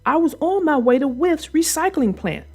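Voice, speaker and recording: A woman's voice: high-pitched, lively intonation, moderate pace, faint music behind, pitched above the performer's own voice. A woman voicing a big male steam engine in a children's storybook, grave and fretful. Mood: concerned.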